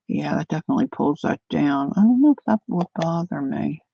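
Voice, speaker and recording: An older woman chatting casually to viewers while she crafts, thinking out loud as she works. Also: sits low, vocal fry, slight background noise. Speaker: craft livestreamer. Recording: dull lo-fi dry sound.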